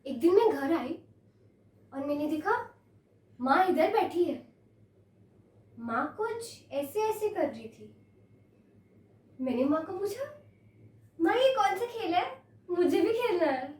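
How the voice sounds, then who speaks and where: A troubled, childlike girl in a dramatic monologue, her voice extremely high and innocently confused. She speaks slowly, repeating herself plaintively. The sound is roomy and echoing, picked up at a distance. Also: lively intonation, exaggerated voice. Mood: childlike, eager. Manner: childlike, plaintive, repetitive, hushing. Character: childlike, disturbed girl, innocently confused, pleading, with chilling calm. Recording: echoey room, distant microphone.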